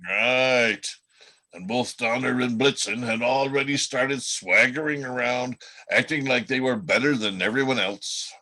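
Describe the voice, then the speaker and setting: A man playing a chatty, world-weary reindeer in an amateur radio play, telling gossip in a folksy, halting way. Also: medium pitch, fairly even intonation, sharp tone, poor audio. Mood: amused storytelling.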